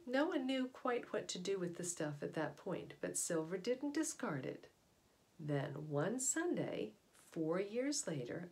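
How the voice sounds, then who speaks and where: Middle-aged American woman reading aloud, lowish voice. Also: darkish tone. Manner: clear storytelling delivery with questions to the listener. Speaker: read-aloud presenter. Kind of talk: reading aloud to children with explanatory asides. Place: indoor room at home.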